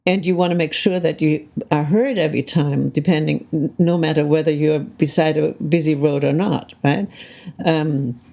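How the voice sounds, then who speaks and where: Thoughtful older woman with a gravelly voice. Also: low-pitched, fairly even intonation, normal speed, warm tone. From Canada. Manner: thoughtful, frequently adds "right?" to check in. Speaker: interview guest, soundscape composer. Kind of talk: reflective conversational interview.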